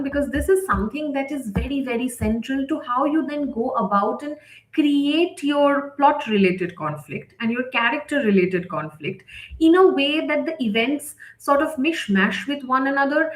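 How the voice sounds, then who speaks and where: A woman leading a live online writing lesson, explaining step by step and often checking in with the listener. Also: on the high side. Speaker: writing instructor, editor leading a session. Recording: echoey, roomy sound.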